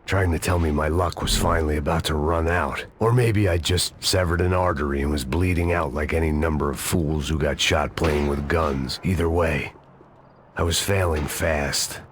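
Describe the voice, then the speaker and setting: An actor voicing a weary, hard-drinking middle-aged ex-cop in noir voice-over narration, gravelly and deadpan. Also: male voice, very deep voice, normal speed. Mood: brooding. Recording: game audio with loud background noise.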